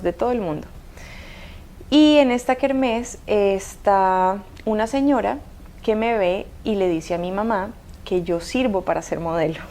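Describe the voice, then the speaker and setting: A woman's voice: medium pitch, darkish tone. A woman in her thirties with a mixed Cuban and Colombian accent, telling her life story as an interview guest, hesitant with many fillers and restarts. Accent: mixed Cuban and Colombian Spanish. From Cuba.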